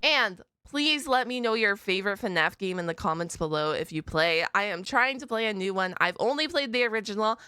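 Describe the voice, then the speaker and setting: A woman with a bright, crisp voice hosts a reaction video in a chatty, expressive way. She speaks casually and throws in exclamations, recorded close and dry. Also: mid-range voice, fairly quick, few pauses, no echo. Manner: expressive, exclaims and interjects often. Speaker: host of a reaction channel.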